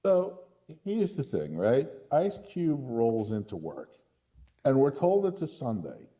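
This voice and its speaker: Very dark-voiced exasperated male film critic. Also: mid-range voice, huge pitch swings, takes it slowly, dull audio. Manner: exasperated, punctuates points with "right?". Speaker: podcast co-host or guest critic. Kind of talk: casual critical film commentary.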